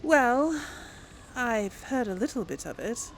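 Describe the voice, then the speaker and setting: A woman doing casual let's play commentary, musing aloud in a halting way. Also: on the high side, expressive, a little hiss or hum, low-quality recording. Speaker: gaming channel host.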